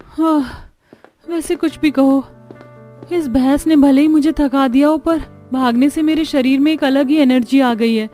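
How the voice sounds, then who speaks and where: A woman's voice: high voice, fairly even intonation, a little slow, dark tone, faint music behind, pitched above the performer's own voice. A woman voicing a put-upon but plucky young city-bred daughter-in-law in a cartoon story, with dramatic, exclaiming delivery. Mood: pleased, energized. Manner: exclaiming, self-pitying, dramatic. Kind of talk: Hindi cartoon story dialogue.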